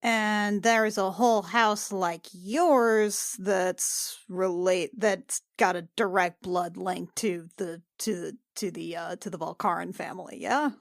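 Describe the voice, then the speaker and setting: A woman's voice: fairly low pitch, steady tone, slow, creaky, few pauses, no echo. Casual woman player in a dry recording. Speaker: podcast cast member and player. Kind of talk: casual tabletop roleplay chat.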